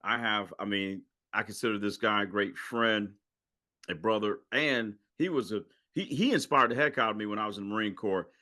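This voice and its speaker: Animated, joking male podcast host. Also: fairly low pitch, normal speed. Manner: lively, joking, animated storytelling. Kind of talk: casual interview.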